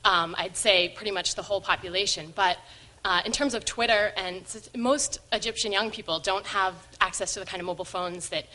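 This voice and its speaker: Bright-voiced young woman, hesitant. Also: medium pitch, fairly quick, a little hiss or hum, poor audio. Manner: hesitant, many fillers. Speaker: audience member asking a question. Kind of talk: question from the floor. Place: lecture hall.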